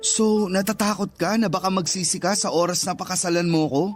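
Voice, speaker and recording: An actor playing a hurt, insistent young boyfriend who presses with frustrated questions in a radio drama. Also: male voice, sits high, fairly quick, lightly acted. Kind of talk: Tagalog radio drama acting.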